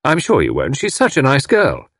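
A man voicing a cheeky, unflappable young English gentleman detective in a storybook, with a flippant, breezy, polite manner. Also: medium pitch, huge pitch swings, moderate pace, no room sound, clean studio sound, pitched above the performer's own voice, exaggerated voice. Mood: obliging, flippant.

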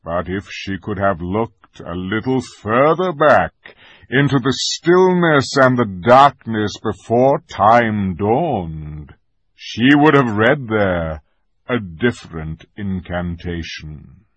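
A man doing a great noble lion from a storybook, solemn and unhurried. The voice sits low and husky, and each word comes slowly and is weighed with gentle authority. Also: animated, no room sound, muffled recording, full character voice. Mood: grave. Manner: slow, solemn delivery. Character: majestic male lion, grave and gentle.

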